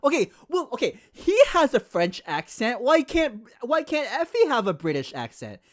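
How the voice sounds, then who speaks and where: A woman game streamer giving excitable, casual live commentary and reacting to what she plays. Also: on the high side, moderate pace.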